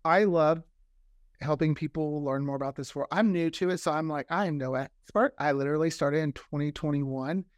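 A man's voice: on the high side, normal speed, no echo, studio quality. A man chatting casually as a podcast guest, enthusiastic and talkative. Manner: enthusiastic, chatty, often quoting imagined dialogue. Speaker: podcast creator, interview guest. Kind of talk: casual chat.

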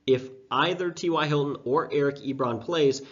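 A man's voice: a little high, normal speed. A young man hosting a fantasy football show, sick with a sore throat and stuffed-up nose, talking in a chatty, self-deprecating way with loose fillers. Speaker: fantasy football podcast host. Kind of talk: casual breakdown talk. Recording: dull lo-fi sound.

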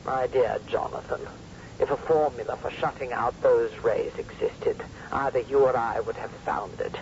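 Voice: mid-range voice, steady tone, moderate pace, a little mellow, close to an everyday voice. A performer playing a reserved British dame, a senior scientist, in an old-time radio drama. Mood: resigned. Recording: dull, noisy old radio recording.

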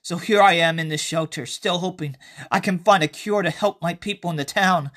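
A man's voice: high voice, a little fast, fairly bright tone. A man reading his own short horror story aloud as a dramatic first-person narrator.

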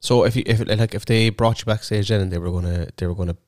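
A teasing Irish man in his late twenties, trading dry, sweary jabs in a casual podcast chat. His voice sits on the low side and is recorded dry and close. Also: steady tone, fast, darkish tone, no echo. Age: late 20s. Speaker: podcast co-host.

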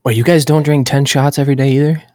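A man's voice: medium pitch, fairly even intonation, fast, a little mellow, no room sound, clean studio sound. A man co-hosting a podcast in casual chat, rambling with lots of fillers. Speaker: podcast co-host.

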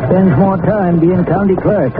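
An actor playing a greedy, short-tempered farmer and killer with folksy, blustering country speech in an old radio crime drama. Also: male voice, sits high, steady tone, unhurried. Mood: folksy, sly. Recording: tinny 1949 radio recording, music.

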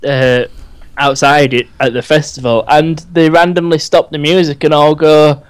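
A man's voice: fairly high pitch, flat delivery, moderate pace, clean tone, no room sound. Man, podcast guest, teasing casual chat, laughs a lot. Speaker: podcast co-host.

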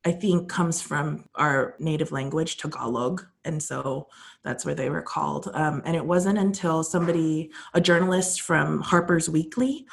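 A woman's voice: low voice, moderate pace, creaky. A woman chatting casually on a podcast, telling a history story with plenty of fillers and restarts.

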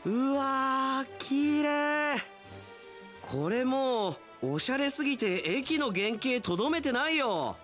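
A man playing an earnest, sentimental young railway station worker in a theatrical, expressive radio drama style. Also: extremely high pitch, takes it slowly, faint music behind, pitched above the performer's own voice, full character voice. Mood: moved.

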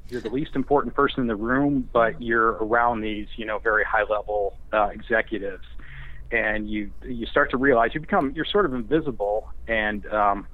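A man's voice: fairly low pitch, fairly even intonation, moderate pace, no room sound. A man speaking over a phone line as an interview guest, hesitant and self-deprecating in casual conversation. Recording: band-limited phone line.

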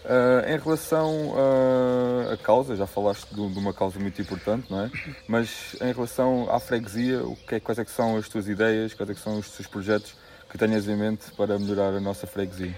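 A man with a European Portuguese accent co-hosting an interview, asking halting questions and agreeing with frequent tag questions. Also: on the low side, steady tone, a little mellow, a little hiss or hum. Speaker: co-host interviewer.

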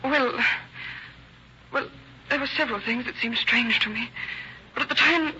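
A woman acting a distressed doctor's wife in an old radio drama, speaking haltingly and earnestly. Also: fairly high pitch, close to an everyday voice. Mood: shaken, recounting. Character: distressed middle-class doctor's wife being questioned by detectives. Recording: muffled, hissy old-time radio recording.

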